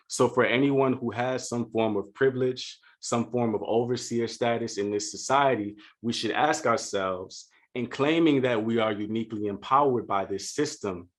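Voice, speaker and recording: A man from Louisiana giving a prepared keynote speech for a virtual conference, speaking in an earnest, measured and deliberate way. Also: on the low side. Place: home setup for a virtual conference.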